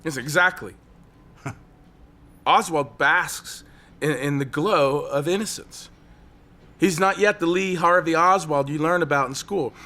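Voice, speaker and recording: An actor playing a brooding, desperate man on a ledge in an audio drama, telling his story in an intense, halting, obsessive way. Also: fairly high pitch, sharp tone. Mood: absorbed, intense. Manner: intense, halting, obsessive monologue with repeated phrases.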